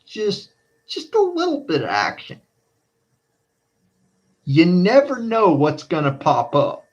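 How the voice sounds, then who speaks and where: Slow-talking man on a weather livestream. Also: sits high, huge pitch swings. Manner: halting, repeats phrases, addresses viewers as guys. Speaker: storm spotter streamer. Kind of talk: casual live weather stream commentary.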